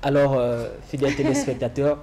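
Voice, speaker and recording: A male TV host interviews a guest in a hesitant way, pausing often on fillers. His voice is dark and mellow at a middle pitch. Faint hiss runs beneath it. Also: flat delivery. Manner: halting, many "euh" fillers. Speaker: TV show host. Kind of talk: TV interview hosting.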